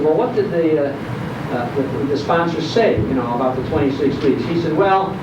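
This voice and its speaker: A middle-aged or older man giving a nostalgic, opinionated, anecdotal talk to a live audience. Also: on the high side. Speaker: guest speaker on radio history. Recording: echoey, distant, dull, noisy hall recording.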